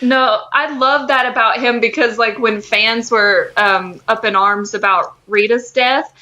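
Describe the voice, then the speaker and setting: A woman's voice: fairly high pitch, steady tone, a little slow, bright, forceful tone, rough recording. A woman co-hosting a podcast, talking in an informal, slangy way about pop culture. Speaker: podcast co-host. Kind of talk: casual pop-culture chat.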